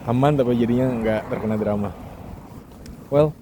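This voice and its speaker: Mellow young man, casual vlogging. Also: mid-range voice, moderate pace, a little hiss or hum. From Indonesia. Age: young adult. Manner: colloquial, joking, mixes in English words. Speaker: vlogger, international student. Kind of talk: casual monologue vlog.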